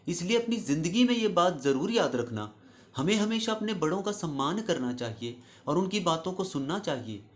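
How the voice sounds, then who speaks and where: An aging storybook father as a man narrator plays him, with a fairly high voice. He is firm and wise, reproachful yet loving, and instructs his family as he admonishes them. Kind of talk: storybook character voice.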